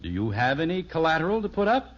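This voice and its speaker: Genial American sitcom dad, middle-aged, mock-formal and patient, very animated delivery, muffled 1950s radio sound. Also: male voice, on the high side, quick pace, heavy background noise. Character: genial middle-aged father playing banker to his children.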